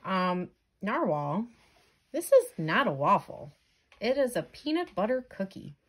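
Woman playing an earnest, animated jellyfish. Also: mid-range voice, a little slow, a little hiss or hum. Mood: coaxing. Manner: questioning, incredulous, coaxing. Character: earnest, exasperated jellyfish friend of a narwhal.

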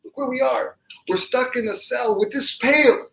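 A man's voice: very high-pitched, normal speed, gravelly, bright, forceful tone, voice pushed up, full character voice. A man voicing a despairing, pleading pious man in a religious story, full of repeated anguished questions. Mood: distraught, pleading. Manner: pleading rhetorical questions, repetitive. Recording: echoey room.

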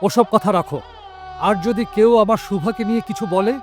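Man acting a high-voiced, protective devoted father. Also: normal speed, natural voice. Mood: protective indignation. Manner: earnest, emphatic. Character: tender, protective father defending his mute daughter. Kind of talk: Bengali audio drama acting. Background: background music.

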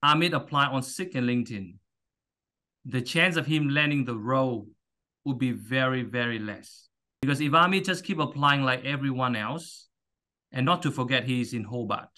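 Male host and job coach, thirties, bright forceful voice at medium pitch, emphatic, on a video call. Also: normal speed. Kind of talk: interview hosting, coaching talk.

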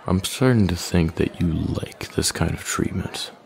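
A man playing a smug, menacing young male bully in an intimate audio roleplay, taunting with slow, deliberate threats in a deep, gravelly voice. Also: a faint crowd, heavily acted. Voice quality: deep, gravelly, breathy at line ends.

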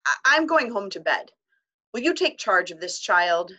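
A woman voicing a shaken male headmaster who croaks and mumbles haltingly while reading a storybook aloud. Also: mid-range voice, big pitch swings, bright, forceful tone, highs cut off.

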